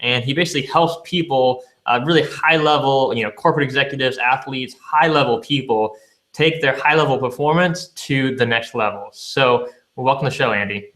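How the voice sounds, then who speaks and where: A young man with a bright, forceful tone hosts a casual podcast interview. He talks in an easygoing, laid-back way, tossing in "man" often. His pitch sits in an ordinary middle range. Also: normal speed. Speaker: podcast host.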